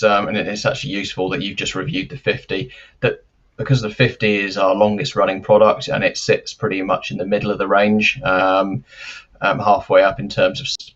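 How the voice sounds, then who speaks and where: A man giving careful, explanatory interview answers as a company expert, with measured and hesitant phrasing, over lo-fi live-stream audio. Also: on the low side. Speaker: loudspeaker company representative, interview guest.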